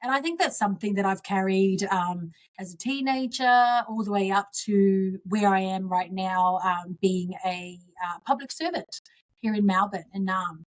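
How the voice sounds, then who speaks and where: A woman's voice: mid-range voice, normal speed. A woman in her forties, originally from Samoa, answering interview questions earnestly and reflectively as a guest politician.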